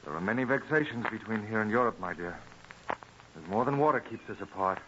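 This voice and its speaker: An actor playing an eager, idealistic colonial farmer and botanist in old-fashioned radio drama, earnest and dreamy in speech. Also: male voice, fairly low pitch, animated, a little fast, fairly bright tone. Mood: eager, vexed. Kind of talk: mid-century radio drama acting. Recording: dull old radio recording, faint noise.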